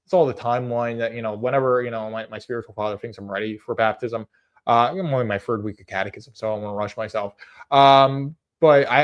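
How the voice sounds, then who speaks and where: Man, late twenties, live-stream host, casual chat, rambling, hesitant, lots of fillers, earnest. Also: mid-range voice. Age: 20s. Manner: earnest, softly amused at chat jokes. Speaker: livestream host.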